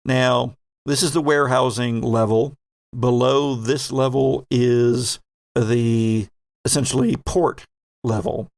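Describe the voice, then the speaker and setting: Creaky-voiced man narrating a tabletop game. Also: medium pitch, no room sound, clean studio sound. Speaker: game master and host.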